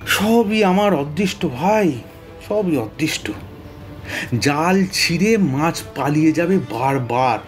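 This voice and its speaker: An actor playing a blustering, easily baffled police officer with a querulous manner in a detective audio drama. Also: male voice, on the high side, lively intonation, takes it slowly, soft background music. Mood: resigned.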